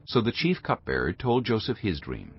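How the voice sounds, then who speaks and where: A man giving a solemn, measured and dramatic narration of scripture, formal in delivery. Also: on the low side, very animated, lo-fi sound.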